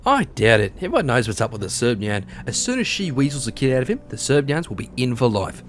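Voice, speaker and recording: Man playing a cynical, animated fantasy creature soldier. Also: medium pitch, fast talker, a little hiss or hum, lightly acted. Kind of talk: audiobook character acting.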